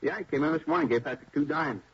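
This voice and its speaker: An actor playing a chatty police sergeant in a 1950s radio drama, with an offhand, naturalistic way of talking. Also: male voice, a little high, fast talker, fairly bright tone, slightly rough. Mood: wry, anecdotal. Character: chatty male police sergeant swapping station-house stories. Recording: dull 1950s radio recording.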